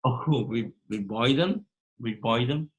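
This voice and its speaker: Man in a quick video chat. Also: medium pitch, fairly bright tone, poor audio. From Malaysia. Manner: brief, repetitive replies. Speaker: participant. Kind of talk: casual chat on a video call. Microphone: video call.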